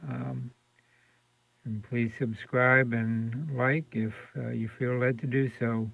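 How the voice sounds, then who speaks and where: A man giving a casual, unscripted radio repair demonstration in hesitant, halting phrases, recorded indoors. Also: medium pitch, fairly even intonation, creaky, breathy edge. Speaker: radio restorer demonstrating a set. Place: indoor room with fluorescent lights. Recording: dull lo-fi home video sound.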